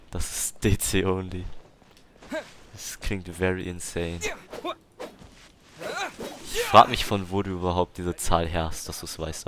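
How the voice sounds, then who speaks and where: A man's voice: deep voice, vocal fry, noisy background. A man with a German accent, commenting casually on a game and reading dialogue aloud in a slangy manner that mixes in English. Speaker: gamer playing and commenting.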